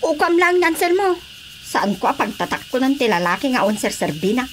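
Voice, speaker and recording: A woman's voice: high voice, big pitch swings, brisk, a bit bright, a little hiss or hum. An actress with an Ilocano accent playing a feisty, quarrelsome village woman in a radio comedy drama. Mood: grudging.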